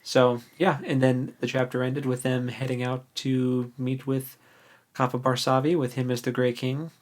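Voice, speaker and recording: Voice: mid-range voice, little pitch movement, moderate pace. A podcast co-host in a casual book discussion, recapping informally in loose, slangy language.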